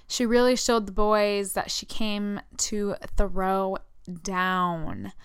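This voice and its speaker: A young woman hosting her own podcast, chatty and excited, her voice hoarse after losing it. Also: medium pitch, no room sound, studio quality. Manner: chatty and excited, fillers like "like" and "you know". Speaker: podcast host. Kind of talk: casual solo podcast monologue.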